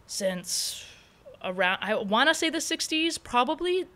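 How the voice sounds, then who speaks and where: A woman from Hawaii hosting a podcast, talking loudly and freely with plenty of opinions. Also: high voice, big pitch swings, a little slow. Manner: loud, opinionated, talkative. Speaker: podcast host. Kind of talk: casual chat.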